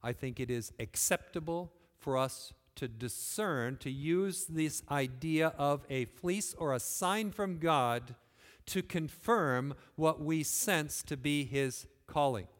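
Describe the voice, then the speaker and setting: Male preacher, earnest sermon, fairly high voice, long church reverb. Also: slow. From West Michigan. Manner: earnest, rising and falling emphasis, quiet closing phrases.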